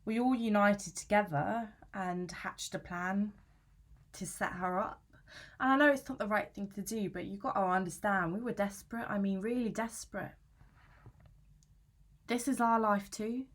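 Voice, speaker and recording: Young British female student, played by an actress: anxious, aggrieved, self-justifying, mid-pitched, natural conversational storytelling for a dramatic monologue. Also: normal speed, close to an everyday voice. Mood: guilty, confiding. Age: young adult. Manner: chatty, slangy, self-justifying.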